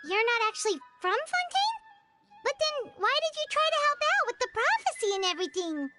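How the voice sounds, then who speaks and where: A tiny childlike game fairy with an extremely high, squeaky voice. She is excitable and naive, swinging widely in pitch as she blurts things out. Music plays clearly behind her. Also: brisk. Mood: shocked, questioning. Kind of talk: anime-style video game voice acting.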